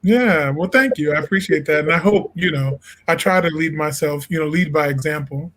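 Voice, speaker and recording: A man's voice: on the high side, a little fast, a bit bright. A man from Illinois, a jazz singer and interview guest, talking earnestly and reflectively. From Illinois, USA. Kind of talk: casual interview talk.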